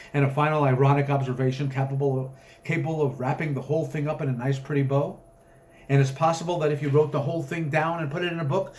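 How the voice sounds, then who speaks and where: A man voicing a sharp, impatient club member who speaks with exasperated, wordy politeness in a story reading. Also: a little high, a little hiss or hum, natural voice. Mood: scornful.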